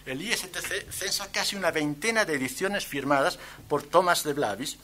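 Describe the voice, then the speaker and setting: A man's voice: fairly high pitch, moderate pace, pushed and bright, slight background noise. A man with an accent from Spain giving a conference lecture as a guest speaker, with wry, ironic asides. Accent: Spanish from Spain. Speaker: guest speaker, antiquarian bookseller. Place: lecture event at a university.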